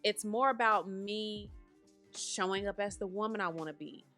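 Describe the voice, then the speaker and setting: Woman, thirties, bright voice, slightly nasal and congested, chatty podcast host, faint music underneath. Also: medium pitch, moderate pace. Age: 30s. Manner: chatty, calls listeners honey and baby. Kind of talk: casual podcast monologue. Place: at home.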